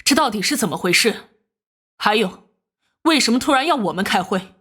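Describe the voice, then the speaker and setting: A woman playing a stern, protective school principal in an animated crime drama, indignant and authoritative. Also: mid-range voice, moderate pace, slightly rough. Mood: demanding.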